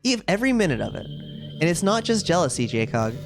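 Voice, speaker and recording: A man's voice: sits high, very animated, brisk. A man voicing a sweet, possessive, self-aware schoolgirl from a video game in a chatty, cutesy, confiding way. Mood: lonely pleading. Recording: music playing behind the voice. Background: game music.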